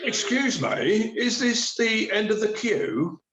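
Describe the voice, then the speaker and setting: A man's voice: high voice, big pitch swings, slow pace, noisy background, heavily acted. A man playing an awkward, sheepish shopper in a radio play, hesitant, stammering and evasive. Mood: exasperated. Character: awkward, sheepish panic-buying supermarket shopper. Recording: slightly dull lo-fi sound.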